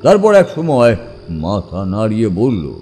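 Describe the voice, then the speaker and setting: Slow, dramatic male horror narrator. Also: on the low side, huge pitch swings, dark tone. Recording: long reverb, music behind.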